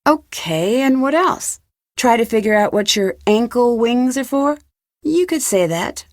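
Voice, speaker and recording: A woman voicing a curious, determined young female detective in an adventure game, talking to herself in clear, purposeful scripted lines. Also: fairly high pitch, lively intonation, unhurried, dry recording, clean studio sound. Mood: conversational, probing. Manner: clear self-talk, inquisitive and purposeful. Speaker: game protagonist.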